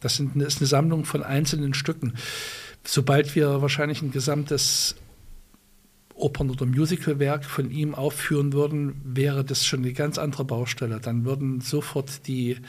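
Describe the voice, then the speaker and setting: A man's voice: medium pitch, little pitch movement, moderate pace. A male musician and guitarist being interviewed, speaking thoughtfully in his native German with many restarts and fillers. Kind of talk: conversational interview.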